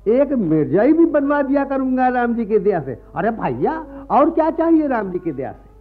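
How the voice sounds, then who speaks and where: An actor playing a sly, merciless priest moneylender in a radio play, unctuous and falsely friendly, forever adding pious phrases. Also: male voice, very high voice, sing-song, moderate pace, exaggerated voice. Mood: coaxing, unyielding. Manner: unctuous, repeats a pious catchphrase. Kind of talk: radio play acting in rustic Hindi. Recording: muffled radio archive recording with music.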